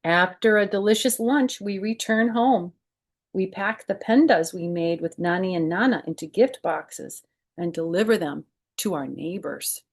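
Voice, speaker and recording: A woman's voice: mid-range voice, a little mellow. A woman hosting a children's storytime, reading a picture book aloud expressively and adding chatty, informal explanations.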